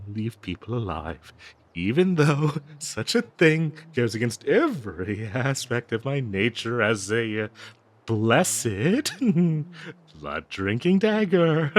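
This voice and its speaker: A man voicing a bloodthirsty, doting cursed dagger in an audio roleplay, with theatrical, halting, gushing talk full of endearments. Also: medium pitch. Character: obsessive cursed talking dagger, bloodthirsty, doting, giddy, fawning.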